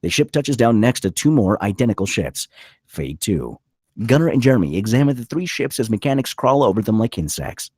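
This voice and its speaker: A man reads screenplay stage directions in a low, rough-edged voice. His intonation moves around in an animated way as he narrates each scene. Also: fairly quick, no echo, clean studio sound.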